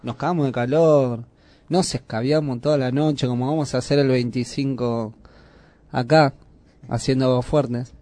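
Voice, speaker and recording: A man's voice: mid-range voice, fairly even intonation, moderate pace, mellow, no echo. An Argentine man hosting a radio show, chatting casually at a studio microphone. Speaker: radio host.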